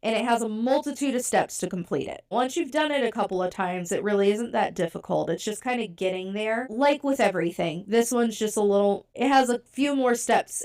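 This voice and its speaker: Chatty, self-deprecating woman podcaster. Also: medium pitch. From born in Europe, raised in the US. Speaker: podcast co-host. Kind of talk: casual podcast talk.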